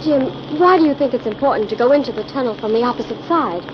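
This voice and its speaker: An earnest young assistant in a 1940s radio mystery played by a woman. She has a slightly high, anxious voice, on a muffled old recording with clear noise. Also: lively intonation, fast talker, natural voice.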